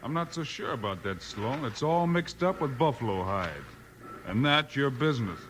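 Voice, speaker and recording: Man playing cool, mid-pitched western lawman hero. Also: animated, a bit bright, natural voice. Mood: firm, warning. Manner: measured, cool, plain-spoken. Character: calm, steady, firm western lawman hero. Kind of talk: 1950s radio western acting. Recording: noisy 1950s radio recording.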